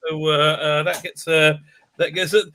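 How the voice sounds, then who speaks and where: Male livestream host: very bright, piercing high voice, excitable, stammering, casual. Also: moderate pace, a little hiss or hum. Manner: excitable, stammering, repeats words. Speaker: talk show host.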